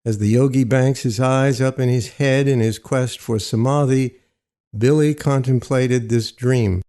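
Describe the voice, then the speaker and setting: A man reads literary fiction with a mellow, relaxed tone. His pitch sits in a middle male range, and his delivery is measured and deliberate, like careful audiobook storytelling. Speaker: narrator.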